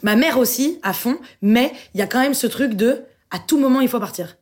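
A woman's voice: fairly high pitch, lively intonation, brisk. A woman, a guest in a casual round-table chat, explaining her thoughts in a reflective way. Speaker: podcast guest.

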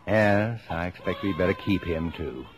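An actor playing a middle-aged, dry and proud but fond father of a big family in measured, formal 1950s radio drama style. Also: deep voice, background noise, close to an everyday voice. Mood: fond, dryly proud. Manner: measured, formal, dryly understated.

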